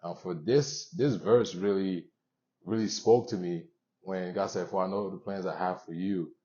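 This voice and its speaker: A young man hosting a motivational show, speaking to viewers in an earnest, conversational way with pauses between short phrases, on a dull recording. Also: sits low, dark tone. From born in Haiti, moved around the US. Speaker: show host.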